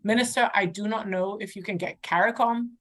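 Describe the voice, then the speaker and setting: A woman's voice: medium pitch, steady tone, a little fast, fairly bright tone. Panelist, female, finance voice, assertive and fluent with asides, over a video call. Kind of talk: panel discussion.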